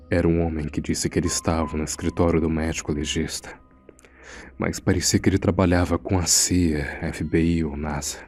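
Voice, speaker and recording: A man's voice: a bass voice, darkish tone. A man playing an uneasy morgue assistant, narrating a horror audio drama in a grave, suspenseful manner. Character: uneasy male morgue assistant recounting a frightening secret. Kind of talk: scripted first-person horror narration.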